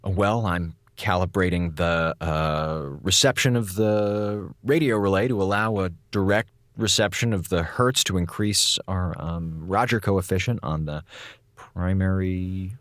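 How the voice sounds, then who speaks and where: An actor playing a weary, sarcastic communications officer on a space station in a radio drama, wry and melodramatic. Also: male voice, low-pitched, a little slow, fairly bright tone, no echo, clean studio sound. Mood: evasive. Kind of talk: radio drama acting, log narration.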